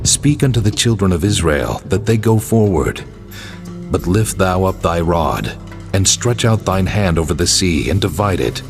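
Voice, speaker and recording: An actor playing God in an audio drama, giving solemn, commanding pronouncements. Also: male voice, sits low, animated, normal speed. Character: God, commanding male divine voice. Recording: voice over music.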